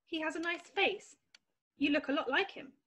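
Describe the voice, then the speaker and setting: A woman's voice: sits high, moderate pace, fairly bright tone. A woman voicing an innocent, polite little girl in an amateur screenplay readthrough, with an earnest, simple manner. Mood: polite, curious.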